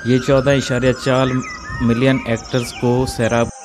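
A man calmly narrating his farm vlog in a measured, informative way. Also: medium pitch, music in the background. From Pakistan. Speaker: vlogger. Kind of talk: voice-over narration of a village vlog.

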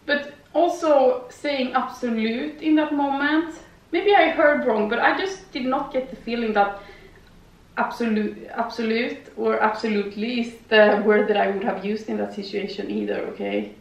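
A woman's voice: fairly high pitch, moderate pace, recorded from a distance. Chatty woman in an echoey room. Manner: rambling, chatty, frequent "okay?" tags. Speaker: vlogger. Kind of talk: casual chat while doing yoga. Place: living room at home. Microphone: far camera.

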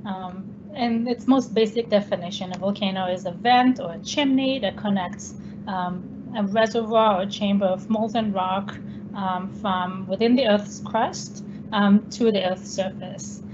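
A woman's voice: medium pitch, measured pace. Israeli-born woman lecturing over a video call. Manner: explanatory, many ums, slightly non-native phrasing. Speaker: guest scientist. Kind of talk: online presentation lecture. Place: home room. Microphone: laptop webcam video call. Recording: dull lo-fi video call with background noise.

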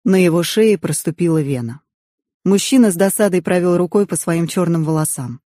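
A woman reading a novel aloud as an audiobook narrator, in expressive first-person storytelling. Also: fairly low pitch, normal speed, dry recording, studio quality.

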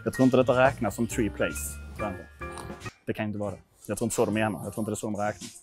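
A man's voice: on the low side, steady tone. A man in his twenties from Sweden chatting casually to viewers in a gym vlog, informal, sweary and self-deprecating. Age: 20s. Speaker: vlogger testing his lifts at a gym. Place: indoor gym. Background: music.

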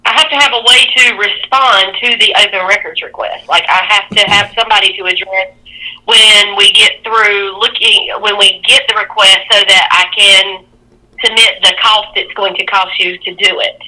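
A woman's voice: medium pitch, steady tone, normal speed, pushed and bright, poor audio. A woman answering an office phone, firm and procedural as she explains things. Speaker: district attorney's office receptionist. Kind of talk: phone conversation.

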